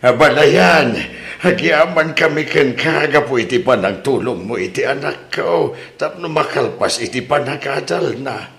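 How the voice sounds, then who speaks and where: A man's voice: medium pitch, lively intonation, measured pace, vocal fry, a bit bright. A man playing a genial, grateful older father in an Ilocano radio drama, speaking with an Ilocano accent.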